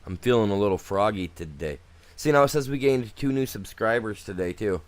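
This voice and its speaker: Laid-back low-voiced male streamer. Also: lively intonation, a little fast. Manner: laid-back, rambling, talks to chat, trails off and restarts. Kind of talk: casual live gameplay chat.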